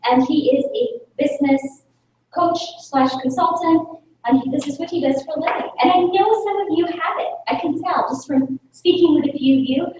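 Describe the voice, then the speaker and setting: A high-pitched woman from the Philippines, a native Tagalog speaker, speaks to a group with energy and keeps pulling the audience in with questions. The room is very echoey, and she sounds a little distant from the mic. Faint noise sits under her voice. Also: normal speed. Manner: energetic, rhetorical, engaging the audience. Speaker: speaker at a club meeting. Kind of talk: public speaking talk with Q&A. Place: meeting room.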